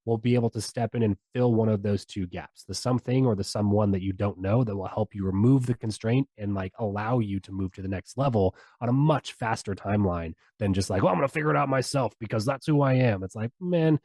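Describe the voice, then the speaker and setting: A man guesting on a podcast, pitching his ideas in a loose, persuasive way. His voice is mellow and warm, recorded dry and close. He talks with a lot of energy and slang. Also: fairly quick, hardly any pauses, no echo. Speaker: podcast guest, software founder. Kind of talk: casual interview talk.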